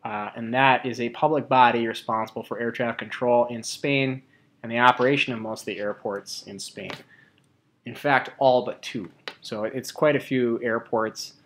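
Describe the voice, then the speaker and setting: Male presenter, mid-pitched voice, informal and colloquial, halting delivery as he talks through slides. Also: fairly even intonation, fairly bright tone, slight background noise.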